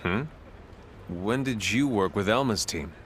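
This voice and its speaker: A man playing a gruff but kind and generous team leader in a video game scene. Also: on the low side, a little slow, a bit bright, slight background noise. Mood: easygoing, wry. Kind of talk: video game cutscene acting.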